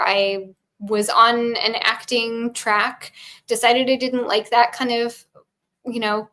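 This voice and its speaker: A woman co-hosting a casual chat, thinking aloud about a film with many "you know"s and "um"s. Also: fairly bright tone. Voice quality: high-pitched voice. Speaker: podcast co-host.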